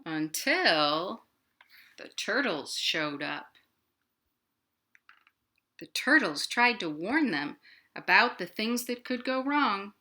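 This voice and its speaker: Bright-voiced American woman, unhurried children's storyteller. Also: medium pitch. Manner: expressive, addressing children directly with questions. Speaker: children's librarian and storytime host. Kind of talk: animated picture-book read-aloud and chat for young children. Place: indoors at her home.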